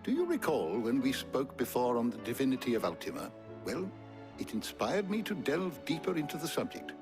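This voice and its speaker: A man's voice: medium pitch, huge pitch swings, a little fast, over music. A man playing a learned, courteous old lore-keeper in a video game, with a formal, musing storyteller's manner. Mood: scholarly, intrigued.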